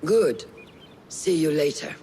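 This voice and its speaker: An actor playing a stern, wise mentor of assassins in a video game. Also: male voice, on the high side, animated, takes it slowly, dark tone, a little hiss or hum. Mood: firm, measured.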